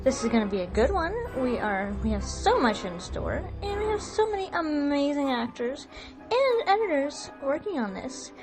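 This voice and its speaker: A woman's voice: high-pitched, very animated, normal speed, poor audio. A woman hosting, giving an introduction and recap for an audio production. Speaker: host and co-director introducing the production. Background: music.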